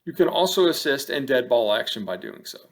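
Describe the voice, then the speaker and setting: A man giving scripted instructional narration over game film like an officiating instructor, in measured, matter-of-fact short phrases. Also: medium pitch, sing-song, creaky.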